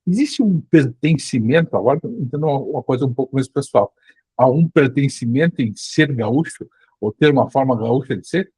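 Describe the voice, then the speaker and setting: A man's voice: mid-range voice, animated, normal speed, darkish tone, breathy edge, dry recording. A man with a Brazilian accent hosting a casual interview, circling into his questions with repeated starts. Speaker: interviewer, host.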